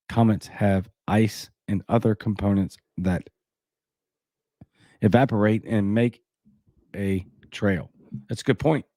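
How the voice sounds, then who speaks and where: A man's voice: fairly low pitch, warm tone, no echo. A man with a Cajun accent hosting a casual livestream, reading news and viewer comments with skeptical, rambling asides. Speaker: livestream host.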